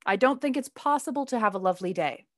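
Radio drama casting: teasing, sarcastic young son, played by a woman, higher-pitched, lively swings, dry close sound. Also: fast talker, no echo, lightly acted. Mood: wry, playful. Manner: playful, sarcastic. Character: Herbert, teasing grown son in a family. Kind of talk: radio play acting.

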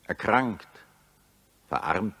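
An actor in a 1940s German radio drama playing an anguished, bitter satirist who accuses humanity, speaking in solemn, rhetorical declamation. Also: male voice, fairly low pitch, expressive, very slow, sharp tone, slightly rough, heavily acted. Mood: mournful reproach.

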